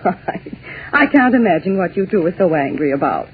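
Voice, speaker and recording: Swooping, gushing society hostess. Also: female voice, lowish voice, sing-song. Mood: gushing, mock-innocent. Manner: gushing, drawn-out, teasing. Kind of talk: 1940s radio acting. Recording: tinny, hissy 1940s radio recording.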